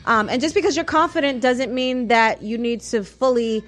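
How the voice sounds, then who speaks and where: A woman's voice: fairly high pitch, normal speed. An American woman hosting a casual radio discussion, speaking in an emphatic, conversational way and checking that listeners follow. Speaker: radio show host. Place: radio studio. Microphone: studio microphone.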